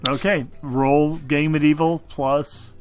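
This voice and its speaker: A man's voice: a little high, little pitch movement, a little slow, faint music behind. A man running a tabletop game, narrating casually and informally. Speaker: game master.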